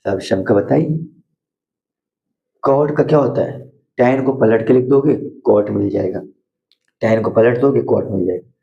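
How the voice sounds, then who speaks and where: Hoarse male math tutor with a gravelly, dark voice and a Hindi accent; drills steps, quizzes the class; dull lo-fi recording. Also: medium pitch, unhurried, long pauses. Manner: repetitive, asks students questions and answers them himself. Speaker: math teacher. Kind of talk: board lesson for school students.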